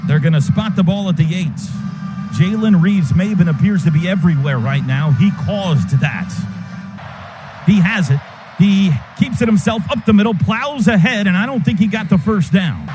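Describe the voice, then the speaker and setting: A man doing live radio play-by-play of a football game in clipped, vivid, excitable calls. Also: high voice, moderate pace, over music. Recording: radio broadcast over loud stadium noise. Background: stadium crowd noise.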